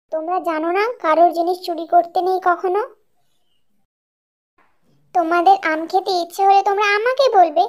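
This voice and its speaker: A small, earnest bird from a children's cartoon, acted out by a performer. The voice is extremely high and childlike, suited to a cautious little creature that is easily indignant. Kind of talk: children's cartoon story dialogue.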